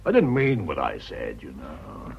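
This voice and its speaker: Husky, blustering old English gentleman. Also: very deep voice, wildly swooping pitch, unhurried, lo-fi sound, heavily acted. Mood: sheepish, apologetic. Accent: upper-class British. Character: blustering, fond, jealous old English aristocrat. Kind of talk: radio drama acting.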